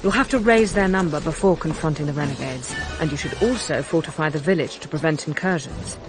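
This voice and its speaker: Woman acting as a noblewoman ruler, game cutscene narration, solemn, measured, earnest hope, clear musical score behind. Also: low voice, natural voice. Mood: earnest, concerned. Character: grave, hopeful noblewoman ruler rebuilding her war-torn land. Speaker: campaign narrator. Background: background music.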